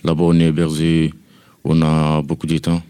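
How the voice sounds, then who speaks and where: A nineteen-year-old man speaks in a relaxed, plain way. His voice is very deep, dark and flat. The sound is dry, with soft music underneath. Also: normal speed, clear, resonant tone, no room sound. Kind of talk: casual radio introduction.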